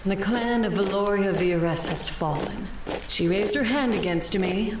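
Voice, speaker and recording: An actress playing an ambitious, coolly ruthless female vampire clan leader in an audio drama, making proud, measured, formal declarations. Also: medium pitch, background noise, natural voice. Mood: triumphant, commanding.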